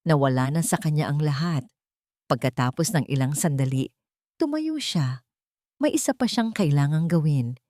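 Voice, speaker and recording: A woman narrator with a fairly low voice delivers a dramatic scripted story. The recording is dry and close, with very little room sound. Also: lively intonation, normal speed, mellow, no room sound.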